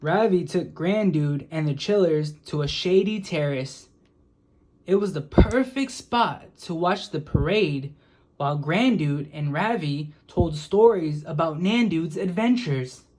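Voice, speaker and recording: Storybook narrator, slow pace, mid pitch, expressive, scripted children's read-aloud. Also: poor audio. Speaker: storybook reader.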